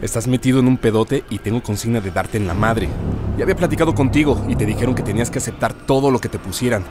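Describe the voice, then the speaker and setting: An actor in an audio drama playing a hostile, bullying prosecutor with a coarse, threatening manner. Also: male voice, mid-range voice, quick pace, close to an everyday voice. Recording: very loud vehicle and street noise.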